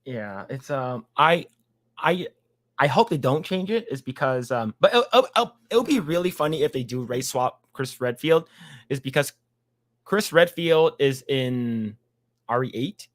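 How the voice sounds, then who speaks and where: A man's voice: medium pitch, expressive, a little slow. A man hosting a casual podcast, reading an article aloud and chatting with many restarts and fillers. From Chinese background. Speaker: podcast host.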